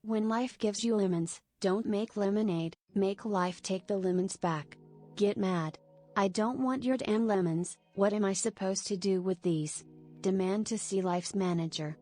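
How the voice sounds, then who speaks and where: A synthetic woman's text-to-speech voice reading out chat messages literally, with a deadpan delivery. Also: mid-range voice, measured pace, faint music behind. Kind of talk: automated read-out of chat messages.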